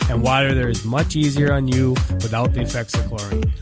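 A man reading a scripted local business radio commercial as a friendly pitchman. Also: steady tone, brisk, over loud music. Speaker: radio ad announcer.

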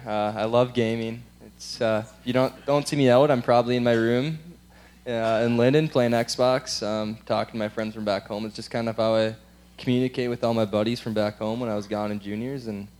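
A man in his twenties from Wisconsin talks casually in an on-stage interview. His voice is mid-pitched, that of a college athlete chatting about himself. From Wisconsin, United States. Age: 20s. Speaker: college hockey player. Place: on stage at a live event.

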